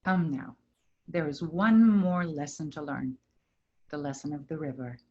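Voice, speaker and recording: A woman in a staged play reading as a wise, gentle river spirit, giving measured, earnest guidance. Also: sits low. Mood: solemn, calm. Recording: dull lo-fi recording.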